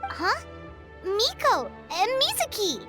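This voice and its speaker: A woman voicing a tiny, chirpy, excitable fairy companion girl with a squeaky voice in a video game. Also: slow, over music, exaggerated voice. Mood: startled.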